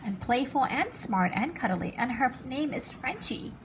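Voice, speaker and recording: A woman's voice: high-pitched, big pitch swings, measured pace, slight background noise. A woman reading as a friendly, helpful animal shelter worker in a storybook, upbeat and persuasive.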